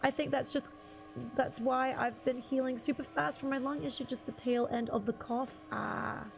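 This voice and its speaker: A young woman with a husky voice, chatting casually with viewers on a game stream, rambling and restarting her sentences. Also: high-pitched, steady tone, moderate pace, a little hiss or hum. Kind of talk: casual live-stream chat reading viewer comments.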